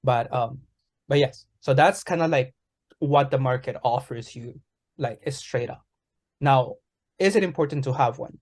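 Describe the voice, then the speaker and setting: Male co-host, mid-range voice, relaxed and filler-heavy delivery. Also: medium pitch. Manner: relaxed, many fillers like "kinda, like". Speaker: podcast co-host. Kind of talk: casual podcast chat.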